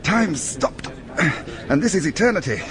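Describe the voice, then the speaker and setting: An actor playing a brusque, stoical injured doctor with an educated English accent, shaken and in pain, in a radio drama. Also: male voice, on the high side, big pitch swings. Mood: wry, shaken. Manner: clipped, brisk, matter-of-fact. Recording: busy crowd noise behind.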